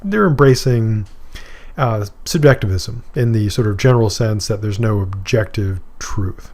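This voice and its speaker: A man's voice: lowish voice, measured pace, a little mellow. A middle-aged man from Maine, a philosophy professor, giving a recorded course lecture in a conversational way, explaining with examples and asides. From Maine, USA.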